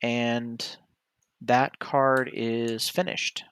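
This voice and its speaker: Guy streaming a solo board game, mid pitch voice, thinking out loud n second guessing himself, dry sound. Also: flat delivery, drawn-out words, clean tone, no echo. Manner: hesitant, self-correcting, talks through each move. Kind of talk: casual play-by-play commentary, thinking aloud.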